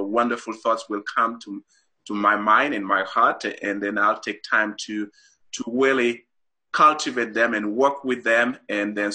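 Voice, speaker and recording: A man's voice: on the low side, pushed and bright, breathy edge. A middle-aged man from Haiti, a guest author on a talk show, explains his ideas earnestly with many fillers and restarts.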